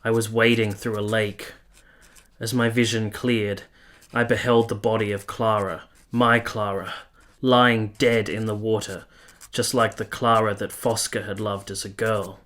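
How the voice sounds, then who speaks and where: A man playing an earnest, gentle soldier in a period radio play, speaking in a measured, literary way. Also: lowish voice, level tone. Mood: sombre, haunted. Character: earnest, gentle young soldier narrating his own story. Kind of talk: first-person dramatic narration in a radio play.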